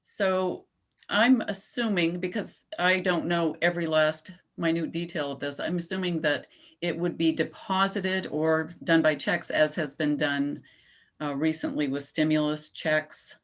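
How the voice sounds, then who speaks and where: A woman presenting a climate proposal at a town meeting and answering questions in a measured, explanatory way. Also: deep voice, fairly even intonation. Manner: measured, explanatory, slows down on key points. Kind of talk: public comment at a town meeting.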